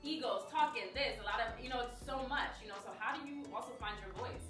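A young woman hosting a casual cooking-show interview in a home kitchen, lively and quick to laugh, with lots of encouraging "yes" and "right". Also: fairly high pitch. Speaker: host. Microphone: far camera. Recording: roomy kitchen echo, distant mic, music behind.

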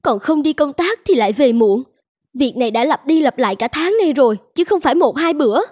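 A woman's voice: sits high, expressive, a little fast, darkish tone, no room sound. A woman voicing a weary, reproachful young wife and mother in a cartoon, speaking plaintively. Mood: hurt, reproachful. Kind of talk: cartoon dubbing.

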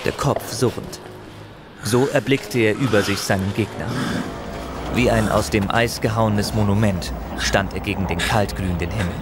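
A man narrating a fantasy audio play in a dramatic, scripted storytelling style. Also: on the low side. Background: loud music.

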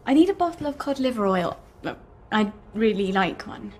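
A woman's voice: mid-range voice, big pitch swings, normal speed, lightly acted. Woman, an actress in role, frank game chemist, wry, wistful, intimate near-whispers, music underneath. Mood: pleading. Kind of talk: naturalistic video game acting.

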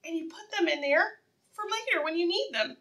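High, animated woman preschool teacher. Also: recorded from a distance. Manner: animated, encouraging, asks questions and pauses for answers; simple repetitive child-directed phrasing. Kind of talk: read-aloud lesson for young children.

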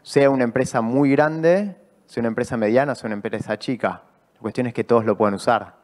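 A man's voice: medium pitch, a little fast. A man in his thirties speaking earnestly on a conference panel into a handheld microphone. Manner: earnest, gestures while explaining. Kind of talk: formal panel talk. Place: conference stage, indoors. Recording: reverberant conference hall.

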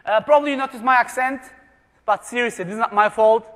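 A man with a very high voice presents to a live conference audience, cracking jokes and firing questions at the room. He grew up in Germany. His voice rings out in a large hall with a long, trailing reverb. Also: quick pace, fairly bright tone. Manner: jokey, asks the audience questions.

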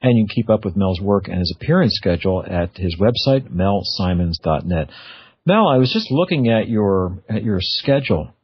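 A man's voice: lowish voice, big pitch swings, moderate pace, slightly rough, no room sound. A middle-aged man hosting a radio interview in a chatty, informal, conversational way. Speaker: radio show host. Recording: dull, band-limited radio audio.

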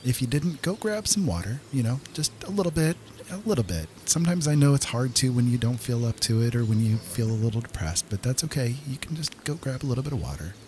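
A man's voice: mid-range voice, lively intonation, fast talker, warm tone, a little hiss or hum. A man giving casual gameplay commentary as the host of a gaming video, talking directly to viewers.

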